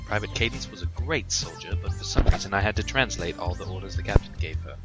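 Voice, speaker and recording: A man with a very low voice narrates fan fiction as a host. His reading is expressive, with music clearly playing behind him. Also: quick pace.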